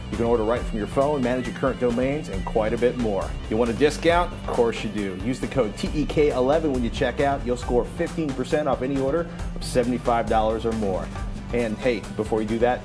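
An American man in his forties co-hosting a tech show, talking casually in a studio. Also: medium pitch. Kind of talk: casual tech commentary and sponsor reads. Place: indoor TV studio.

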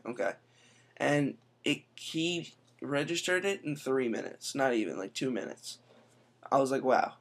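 A young man giving a casual, chatty tech tutorial, full of quick asides, recorded indoors on a laptop webcam mic. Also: medium pitch. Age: young adult. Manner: chatty, quick asides, says "I'm telling you". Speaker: tech vlogger. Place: indoor room.

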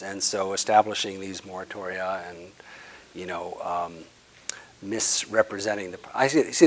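A low-voiced man in his sixties answers audience questions at a lecture, leaning hard on key words and then letting each phrase trail off softly. He speaks into a clip-on lapel mic in a conference room. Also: rough recording. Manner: emphatic, trailing off quietly at phrase ends. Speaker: guest speaker.

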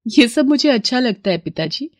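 A woman's voice: a little high, big pitch swings, normal speed, darkish tone, no echo, clean studio sound, voice pushed up. A woman voicing an eager, sweet young woman in a cartoon story. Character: earnest young woman bangle maker daughter.

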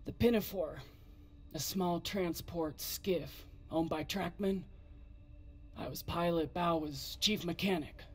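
An actress playing a gruff, guarded, foul-mouthed woman spaceship pilot, speaking bluntly with a husky voice in a video game. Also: lowish voice, takes it slowly, faint music behind. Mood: subdued, reminiscing. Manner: blunt, terse, sardonic. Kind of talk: naturalistic video game acting.

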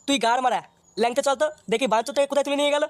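A performer voicing a brash young village friend in a comedy cartoon, nagging in crude slang with a pitch-shifted voice. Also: fairly even intonation, very fast, dry recording. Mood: impatient. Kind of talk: exaggerated comedy cartoon dubbing.

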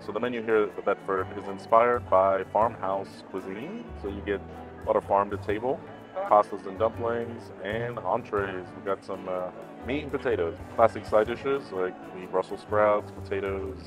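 A man's voice: fairly low pitch, moderate pace, over music. A man, chatting to viewers about drinks and dishes at a busy indoor restaurant on a lapel mic. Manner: chatty, addresses viewers as y'all. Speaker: food vlogger co-host. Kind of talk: casual restaurant review.

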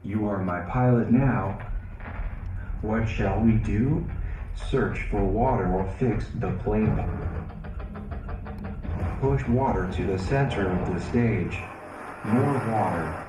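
A man voicing a gentle male AI being who lives on a stage, calmly guiding an audience with instructions. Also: fairly low pitch, noisy background. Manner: slow, calm, guiding instructions. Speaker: interactive stage character. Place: theater stage. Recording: echoey stage sound, far from mic, loud music.

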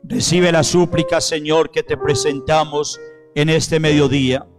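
Middle-aged priest praying at the altar, slow solemn phrasing, handheld mic, piano playing under him, voice a bit high. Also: male voice, steady tone. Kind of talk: liturgical prayer and renewal of baptismal promises. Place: church sanctuary, at the altar. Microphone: handheld microphone. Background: soft piano music.

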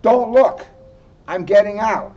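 Storybook young frog boy, played by a man: whiny, excitable, raised pitch, muffled noisy outdoor recording. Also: slow pace. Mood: insistent, flustered. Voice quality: whiny, spluttering.

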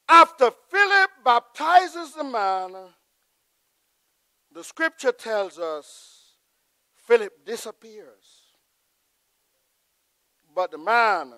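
A middle-aged man preaches from a church pulpit in a strikingly high, bright voice, delivered at a very slow, deliberate pace. His sermon swells into loud, emphatic peaks before falling to a hushed, prayerful close. The sound is dry and close to the pulpit mic. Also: no echo. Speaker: pastor. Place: large church sanctuary with choir behind.

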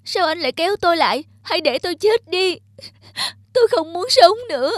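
A woman playing a kind, sensitive young woman in an animated drama, speaking earnestly and with emotion. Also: very high voice, a little fast, dry recording, clean studio sound. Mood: despairing, crying. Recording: music behind.

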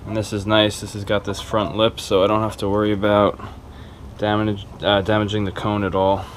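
A man's voice: lowish voice, completely flat delivery, moderate pace, a little hiss or hum. A man talking casually and a little hesitantly through a hands-on repair tutorial, unscripted and informal. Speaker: video maker giving a repair tutorial. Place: small cluttered carpeted room indoors.

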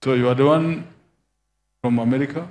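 A man's voice: mid-range voice, fairly quick, darkish tone. A man leading a prophetic deliverance session who makes firm, declarative pronouncements and commands spirits to leave.

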